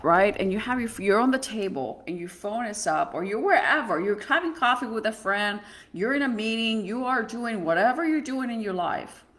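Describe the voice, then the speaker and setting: Woman, middle-aged, therapist host, earnest, conversational, restarts often, mid pitch. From Mexico. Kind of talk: advice monologue on parenting.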